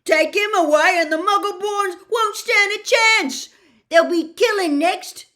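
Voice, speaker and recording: A woman voicing a big, rough, loyal and hot-tempered man in a storybook, loud and blunt. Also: extremely high pitch, unhurried, pitched above the performer's own voice, full character voice. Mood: furious. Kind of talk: storybook character reading.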